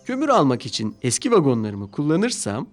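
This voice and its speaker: A man voicing an eager, proud little male tank engine in a cartoon dub, indignant and quick to exclaim. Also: mid-range voice, huge pitch swings. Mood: scheming. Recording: music playing behind.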